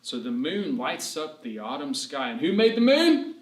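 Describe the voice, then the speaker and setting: A man with a high, very expressive voice reads a storybook lesson to young children. He is encouraging and prompts his listeners with questions, stretching key words for emphasis. The sound is roomy, with noticeable echo. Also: moderate pace. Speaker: children's ministry storyteller and teacher. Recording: roomy, echoing room sound.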